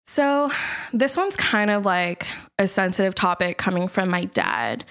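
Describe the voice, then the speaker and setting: A woman's voice: medium pitch, sing-song, a little slow, a bit bright, smooth. A young woman from the United States co-hosting a podcast in casual chat, laughing often and leaning on fillers like "like" and "you know". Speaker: podcast co-host.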